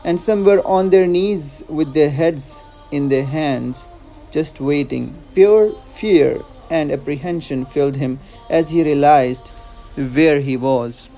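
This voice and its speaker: A man tells a scripted story with earnest drama, stressing his words for effect. His voice sits a little high, and music plays behind him. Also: slow pace, mellow. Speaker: narrator.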